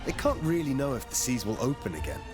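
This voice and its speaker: A man's voice: mid-range voice, big pitch swings, quick pace, natural voice. A man playing an earnest, level-headed young warrior in a video game, direct and restrained. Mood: calm, probing. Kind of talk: video game cutscene acting. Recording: music behind the voice.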